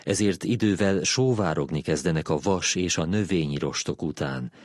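A man with a low voice narrates a written essay. It is a scripted read, recorded close and dry with very little room sound. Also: no echo. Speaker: narrator reading a philosophy essay.

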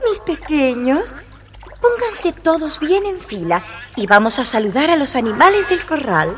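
A woman playing a fussy, loving mother duck in a children's storybook recording, expressive and motherly. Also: sits high, unhurried. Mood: proudly instructing.

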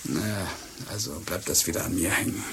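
An actor playing a brisk, wryly world-weary senior police detective with casual authority in a German radio crime drama. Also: male voice, deep voice, vocal fry, fairly bright tone, a little hiss or hum. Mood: resigned, brisk. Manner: casual authority, dry, questioning.

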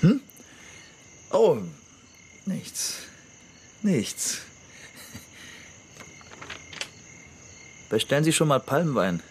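An actor playing a preoccupied, educated forensic investigator in a naturalistic radio drama. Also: male voice, a little high, very animated, slow pace, noisy background. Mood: distracted.

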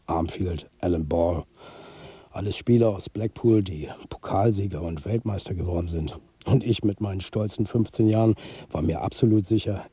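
A world-weary football manager telling his own story in a radio drama. His voice is husky and blunt, with a sardonic, confiding edge. The sound is very dry and a little muffled. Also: low voice, dry recording, exaggerated voice. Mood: wistful. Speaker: actor narrating as the lead character. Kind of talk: first-person radio drama narration.

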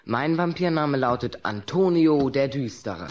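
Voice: mid-range voice, animated, measured pace, a little mellow, lo-fi sound, close to an everyday voice. A young performer playing a polite, nervous but cheeky boy in a radio play, hesitant and evasive. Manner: hesitant, stammering, sly. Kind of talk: German radio play acting.

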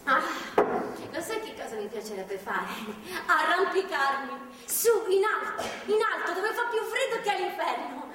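Defiant tipsy captive young woman, extremely high voice, sardonic, impulsive, theatrical stage drama, distant in a reverberant hall. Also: measured pace, few pauses. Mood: exhilarated, reminiscing. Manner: impulsive, sardonic, emotionally expressive. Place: theatre stage, far microphone. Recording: echoey theatre hall, distant, faint noise.

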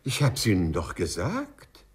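An actor playing a meek, old-fashioned civil servant in a 1950s German radio play, polite, formal and hesitant. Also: male voice, fairly low pitch, wildly swooping pitch, a little slow. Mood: anxious, polite.

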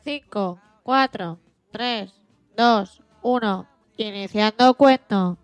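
A woman playing a mission control flight director, making measured, deliberate announcements in a radio play. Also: medium pitch, clear, resonant tone, no room sound. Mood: measured, official. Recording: music behind the voice.

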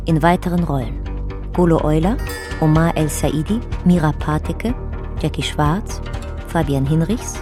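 A woman's voice: low-pitched, unhurried. A woman narrating a radio play in a clipped, matter-of-fact way, reading scripted lines. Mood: neutral. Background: music.

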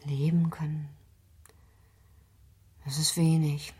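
A girlish yet guarded young wife in a radio drama. Her voice is low and very husky, with a breathy edge on her words. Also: flat delivery, slow pace, mellow, full character voice. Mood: cool, dismissive. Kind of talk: German radio play acting.

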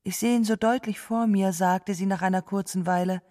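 A woman with a soft, mellow voice speaks in a literary radio drama, restrained and wistful. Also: mid-range voice, fairly even intonation, a little fast.

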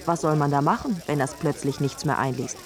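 A woman's voice: deep voice, brisk, crowd noise, close to an everyday voice. A woman narrating a scripted radio drama in an emphatic way.

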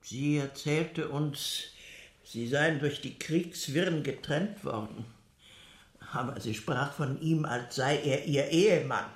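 An actress playing a composed convent nun in a radio crime drama, speaking in a measured, formal and deliberate way. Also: female voice, low-pitched. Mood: measured recollection. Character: composed convent nun recounting wartime records to investigators. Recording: echoey room.

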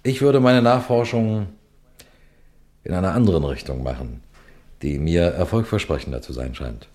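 An actor playing a shrewd, composed senior police detective in a radio crime play, speaking in a deliberate, courteous, instructive way. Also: male voice, low-pitched, lively intonation, warm tone. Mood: assured, explaining. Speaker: detective and narrator addressing listeners. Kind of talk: scripted German radio crime drama.

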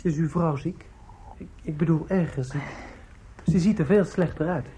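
A man's voice: a little high, moderate pace, warm tone, breathy edge. A male actor playing a polite, earnest young man and dutiful son in a radio play, hesitant and apologetic. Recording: noisy old radio play recording.

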